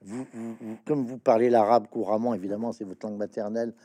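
Mellow-voiced male interviewer, halting. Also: on the low side, steady tone. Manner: halting, stammering restarts, many fillers. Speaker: interviewer, host. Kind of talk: interview questions, reading passages aloud.

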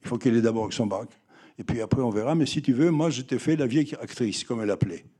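An older man looks back on his early career in a relaxed, mellow voice. He talks haltingly, with many fillers and restarts. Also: mid-range voice, husky. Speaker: film director interviewed. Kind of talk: reminiscing interview answers.

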